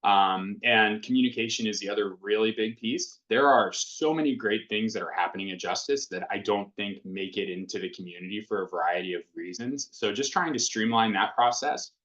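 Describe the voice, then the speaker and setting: Man, low pitch, reassuring school principal, lots of ums, lo-fi video call. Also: fairly bright tone. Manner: earnest, reassuring, filler-heavy. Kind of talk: answering questions at a virtual town hall. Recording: dull lo-fi video call audio.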